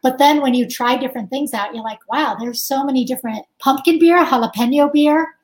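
A chatty woman with a high voice, speaking as an interview guest. She talks casually, laughs easily and keeps saying "like". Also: a little fast, darkish tone, poor audio. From United States. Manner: chatty, laughs often, says "like" a lot. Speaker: guest musician.

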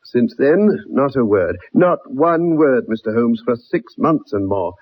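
Earnest, husky ex-soldier. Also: male voice, medium pitch, lively intonation, a little slow. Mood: troubled, recounting. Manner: earnest, polite but insistent. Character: earnest, persistent young ex-soldier, troubled loyal friend. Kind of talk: 1960s radio drama acting, first-person narration. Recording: muffled old radio broadcast recording.

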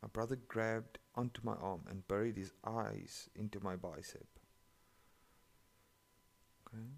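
Man, English, sarcastic swearing story reader, deep and gravelly. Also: steady tone, a little slow. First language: not English. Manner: sarcastic, profane running commentary. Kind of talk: reading online stories aloud with commentary.

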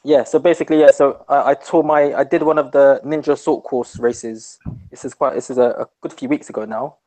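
A man's voice: mid-range voice, steady tone, fairly quick, a little mellow, poor audio. A man who is a guest on a podcast, chatting in a laid-back, slangy way. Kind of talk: casual podcast chat.

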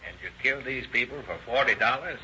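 An actor playing a forceful man confronting a killer in a 1930s radio drama. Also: mid-range voice, fast. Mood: grave questioning. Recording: muffled old radio recording with noise.

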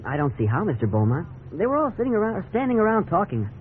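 A man's voice: high voice, huge pitch swings, fast talker. An actor playing an earnest, naive, apologetic teenage boy, polite and hesitant, in 1950s radio drama style. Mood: sheepish. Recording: tinny, noisy 1950s radio recording.

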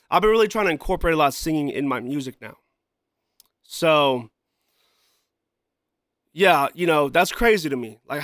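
A man hosting his own podcast in casual, rambling talk, repeating himself, often saying "you know" and "man", and clearing a scratchy throat. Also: on the high side, fairly bright tone, no room sound, studio quality. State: throat trouble, coughing and clearing throat. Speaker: podcast host and musician.

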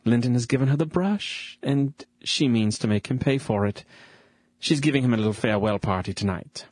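An actor playing a suave, predatory duke in a period radio drama, condescending and full of oily mock gallantry. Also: male voice, mid-range voice, fairly quick, a little mellow, husky, dry recording, close to an everyday voice. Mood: smug, callous. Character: suave, predatory, smug English duke, a lecherous villain.

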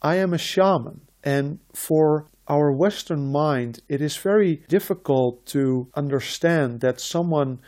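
Man, from the Netherlands, shaman podcast host, very slow measured delivery, repetitive phrasing. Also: fairly high pitch, a little mellow. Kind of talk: slow reflective spiritual talk.

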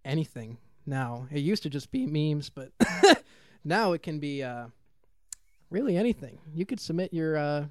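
Brief: young male podcast host, relaxed rambling, swears easily, dry room. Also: a little high, unhurried, no echo. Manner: laid-back, trailing off, swears casually. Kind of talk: casual chat.

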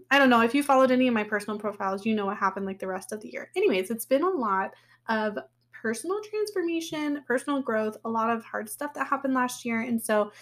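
Hoarse, chatty woman podcast host. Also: a little high. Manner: chatty and candid, with frequent fillers and laughs. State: slightly hoarse, recovering her voice. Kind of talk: casual personal podcast talk.

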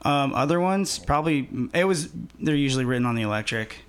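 A man in his twenties, a musician, talking casually in an interview in a relaxed, rambling way with many pauses. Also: fairly high pitch, smooth. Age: 20s. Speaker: musician guest.

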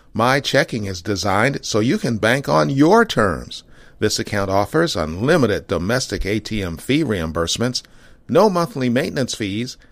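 A man's voice: mid-range voice, dry recording. A man delivering a scripted advertising read as a programme announcer. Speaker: podcast host and ad reader. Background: faint music.

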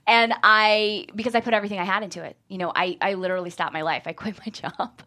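A woman's voice: mid-range voice, fairly even intonation, fast talker, bright, forceful tone. A woman screenwriter talking candidly and emphatically as a guest in a panel discussion, often laughing through her words. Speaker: screenwriter and guest being interviewed. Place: talk show studio after a table read.

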